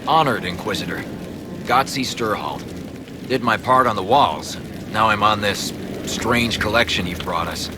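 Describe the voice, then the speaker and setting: An actor playing a gruff, plainspoken dwarven craftsman in a video game, speaking in clipped phrases. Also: on the low side, a little slow, background noise, close to an everyday voice. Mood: respectful. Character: gruff, practical dwarven craftsman, proud of his trade. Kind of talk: video game dialogue acting.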